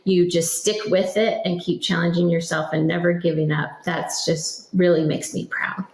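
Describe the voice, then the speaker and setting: A woman's voice: lowish voice, little pitch movement, moderate pace, a little mellow, roomy sound, poor audio. A woman answering interview questions conversationally as a parent, in an earnest, reflective manner.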